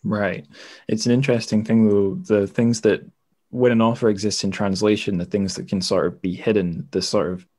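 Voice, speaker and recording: Male podcast host, lowish voice, casual and discursive, feels his way through sentences with self-corrections. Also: little pitch movement, normal speed, a little mellow.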